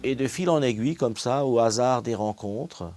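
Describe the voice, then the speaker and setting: A pastor recounting his work in a neighborhood in a deliberate, measured way. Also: medium pitch. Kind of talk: testimony. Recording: voice with loud music behind.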